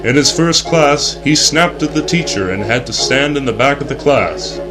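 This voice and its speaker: A man's voice: mid-range voice, animated, normal speed, over music. A young man reading scripted narration in the earnest, formal announcer style of a 1960s educational film. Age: young adult.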